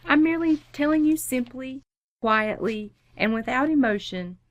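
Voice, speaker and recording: A woman's voice: high voice, lively intonation, moderate pace, no echo, muffled recording. A woman playing a composed, dry-witted wife and mother in her thirties in an old-time radio family comedy. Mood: firm. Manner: wry, composed.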